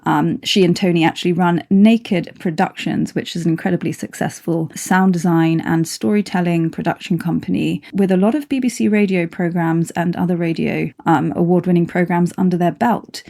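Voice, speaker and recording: A woman's voice: lowish voice, warm tone. A woman hosting an interview podcast, encouraging in manner. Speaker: podcast host. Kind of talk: interview with scripted introduction.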